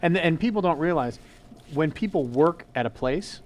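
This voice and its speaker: A man co-hosting a casual interview, joking and asking lively questions. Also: on the high side, normal speed, a little hiss or hum. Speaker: co-host interviewer. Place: restaurant bar.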